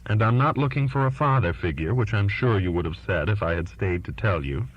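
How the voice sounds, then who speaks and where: A man's voice: fairly low pitch, expressive, a little fast. A man reading as an earnest, defiant twenty-year-old daughter writing to her father, pleading and insistent, on a lo-fi recording. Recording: dull lo-fi recording.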